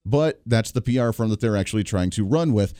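A man's voice: on the low side, lively intonation, warm tone, smooth, dry recording. Opinionated male talk-radio host, dry sound. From Kansas area, United States. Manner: opinionated, sarcastic, rapid asides. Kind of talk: political commentary.

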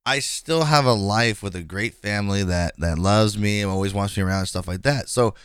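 A man's voice: lowish voice, brisk, fairly bright tone, smooth, no room sound, clean studio sound. A man in his twenties hosting a casual podcast conversation, speaking frankly and rambling with many fillers and restarts. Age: 20s. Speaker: podcast host.

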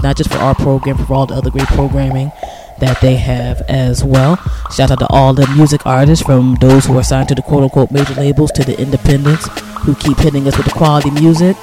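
An American man hosting a radio show, talking casually with informal slang and shout-outs. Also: mid-range voice. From United States. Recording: loud music under the voice. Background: music.

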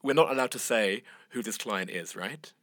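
A man hosting a podcast interview, asking relaxed follow-up questions. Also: lowish voice, moderate pace, dry recording. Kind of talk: conversational interview.

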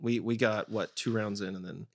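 A man's voice: fairly low pitch, steady tone, moderate pace, vocal fry. A man talking casually and easily as a comedy guest, also reading stage directions. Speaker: guest sketch writer and comedian.

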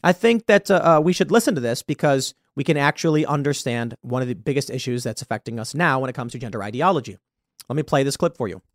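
A man hosting a commentary show, talking through his views in a halting way with many repeated words and sudden loud emphasis. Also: medium pitch, lively intonation, dry recording, clean studio sound. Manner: hesitant, repeats words, stresses points loudly. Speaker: show host. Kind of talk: political commentary monologue.

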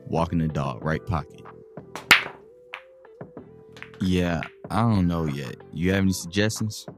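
A man's voice: low voice, normal speed, over loud music, close to an everyday voice. A man playing a cocky but guarded single guy in a casual audio drama, talking in boastful, skeptical slang over a game of pool. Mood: doubtful, ribbing.

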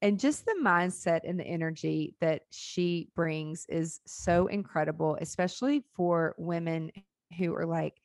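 Woman, podcast host, upbeat, folksy, mellow tone, dry close sound. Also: on the low side, measured pace, dry recording. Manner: upbeat, folksy, says "y'all". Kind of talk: casual interview chat.

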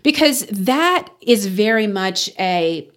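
A woman from the Texas Panhandle speaks as a guest on a radio show. She talks loudly and earnestly, and her pitch leaps up when she stresses a word. Her voice sits in an ordinary middle register. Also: very animated, slow pace. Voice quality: loud. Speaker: interview guest. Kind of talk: radio interview conversation.

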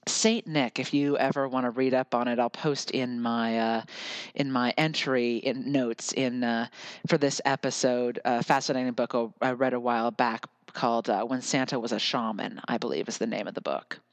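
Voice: medium pitch, fairly even intonation, normal speed, no echo. An American podcast host speaking alone in an earnest, musing way, often drifting into lyrical repetition. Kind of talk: reflective solo podcast monologue.

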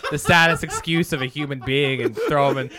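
A man's voice: mid-range voice, fairly even intonation, brisk, loud music behind the voice. A young man co-hosting a podcast, crude and joking, often laughing as he talks. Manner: crude, joking, laughs through his lines. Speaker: podcast co-host. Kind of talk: casual chat.

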